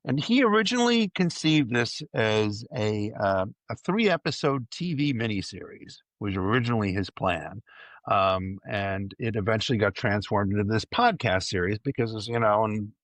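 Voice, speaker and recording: A man's voice: fairly low pitch, animated, moderate pace, fairly bright tone, dry recording. A man talking casually as a podcast panelist, explaining things and often correcting himself as he goes.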